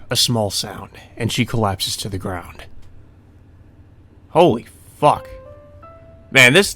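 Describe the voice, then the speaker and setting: A man's voice: mid-range voice, fairly bright tone. A man reading a visual novel aloud as a let's player, with casual commentary. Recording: music under the voice.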